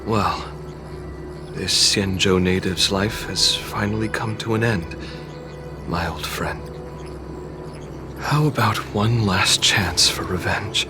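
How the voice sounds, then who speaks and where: A battle-worn knight nearing death in a fantasy game. His voice is low, calm and commanding. He speaks gravely and unhurriedly, with music underneath. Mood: wistful, resigned. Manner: measured, grave, deliberate. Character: dying male warrior knight, calm, commanding old friend. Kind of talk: dramatic game dubbing.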